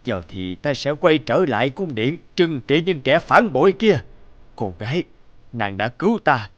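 A man's voice: medium pitch, very animated, unhurried, dull audio. A man voicing an old, trusting king in a fairy tale cartoon, regal and dramatic in manner. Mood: solemn. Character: old, trusting king, doting yet quick to anger.

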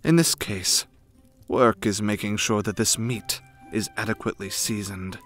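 A man's voice: fairly low pitch, fairly even intonation, unhurried, a bit bright, faint music behind. A man playing a frightening, formal young noble retainer, dry and secretive, with pompous, precise archaic diction, in an ASMR roleplay. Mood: brisk, exacting. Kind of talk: ASMR roleplay, scripted character acting.

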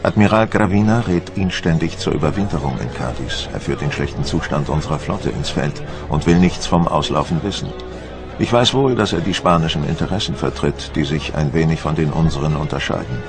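A proud, resolute French admiral of the Napoleonic navy, declaims in a low, solemn voice. His formal monologue belongs to a historical radio play, with music clearly heard underneath. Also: fairly quick. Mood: grave. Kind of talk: dramatised first-person documentary monologue in German.

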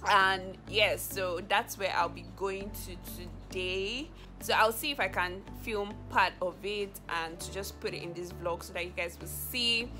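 A young woman talks straight to her camera in a relaxed vlog. She takes her time, filling her sentences with "like" and "so". Soft music plays faintly behind her. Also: mid-range voice, a little slow, few pauses. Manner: chatty, leans on "like" and "so". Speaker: vlogger. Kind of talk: casual vlog chat to camera.

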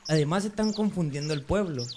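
A man's voice: fairly high pitch, brisk, darkish tone, a little hiss or hum, natural voice. A man acting as a zealous, dutiful young Pharisee, speaking earnestly and resolutely in an amateur radio drama. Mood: indignant.

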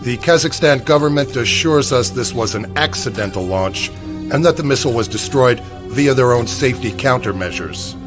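A man playing a guarded government official in a video game, delivering a formal statement in a stiff, measured way. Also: mid-range voice, expressive, music in the background, lightly acted. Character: guarded male government official at press podium.

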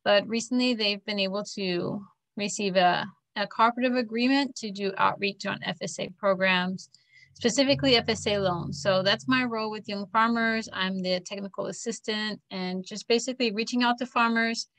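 Woman in her thirties, farmer, bright clear tone, dry close sound, laptop video call, steady plain explaining. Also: medium pitch, normal speed, no echo, rough recording. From Florida, US. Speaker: farmer and technical assistant. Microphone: laptop webcam on a video call.

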